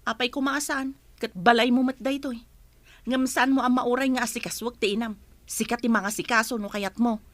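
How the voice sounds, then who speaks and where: Woman acting exasperated wife, high-pitched voice. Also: fairly even intonation, normal speed, no echo, natural voice. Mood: displeased. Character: exasperated, principled wife standing up for her parents. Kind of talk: Ilocano radio drama acting.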